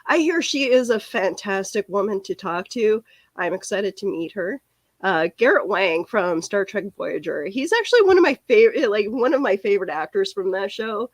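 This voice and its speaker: A woman's voice: mid-range voice, very animated, moderate pace, dry recording. Woman with a cracking cold voice. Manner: rambling, chatty, answers viewer comments. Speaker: live stream podcast host. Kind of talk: casual live stream chat.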